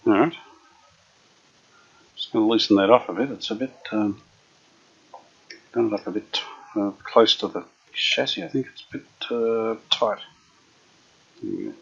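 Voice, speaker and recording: A man restoring an old radio, chatting casually as he works, unhurried and full of fillers. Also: fairly low pitch, sing-song, gravelly, a bit bright, husky. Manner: unhurried, thinking aloud with many fillers. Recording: dull lo-fi workshop recording.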